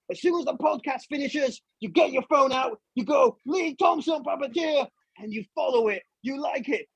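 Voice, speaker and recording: A man's voice: no echo, heavily acted. A man voicing a brash, boastful puppet who plays the ladies' man, with a pushy, emphatic way of talking in a comic interview. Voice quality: high, creaky, raspy. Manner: cocky, pushy, emphatic, repeats himself. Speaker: puppet podcast guest.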